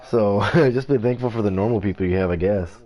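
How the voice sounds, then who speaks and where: An American man chatting casually over voice chat while gaming, talking haltingly with many restarts and fillers. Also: on the low side, noisy background. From United States. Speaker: streamer playing an online team game.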